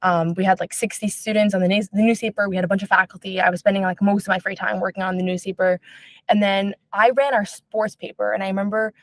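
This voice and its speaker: Fast-talking young woman, chatty. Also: medium pitch, flat delivery, dry recording. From Israel. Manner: fast, chatty, lots of fillers like "um" and "like". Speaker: basketball reporter. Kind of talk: podcast interview answers.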